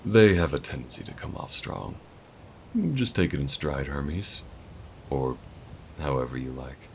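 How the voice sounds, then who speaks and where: A man voicing a calm male alien gunslinger in an audio roleplay, speaking to the listener in a slow, folksy drawl with a patient, protective manner. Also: extremely low pitch, slight background noise, heavily acted. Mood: easygoing. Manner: patient, steady instructing.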